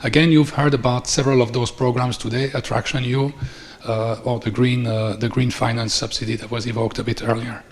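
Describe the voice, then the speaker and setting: A middle-aged man from Belgium with a Belgian accent, giving a formal closing speech at a conference in measured, orderly phrases. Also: mid-range voice, flat delivery. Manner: measured, formal delivery with listed points. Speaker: conference speaker. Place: large conference hall. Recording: long reverb of a conference hall.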